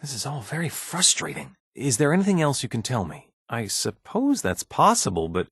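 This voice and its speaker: An actor playing a mild, bureaucratic scientist in a video game, polite, measured and slightly uneasy, with a hesitant, deferential manner. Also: male voice, medium pitch, wildly swooping pitch, fairly bright tone, breathy edge, no echo, clean studio sound, exaggerated voice. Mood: analytical, guarded. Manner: hesitant, deferential, measured office-professional speech. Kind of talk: video game dialogue acting.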